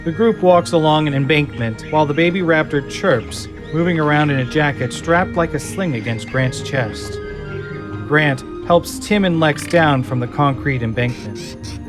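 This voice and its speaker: A man's voice: fairly high pitch, moderate pace. A man delivering dramatic scripted narration as the narrator of an audio drama. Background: music and sound effects.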